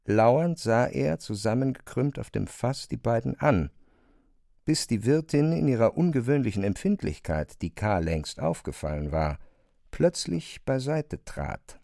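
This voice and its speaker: A man's voice: medium pitch, lively intonation, unhurried, gravelly, mellow, no room sound. Man narrating literary drama, close dry sound.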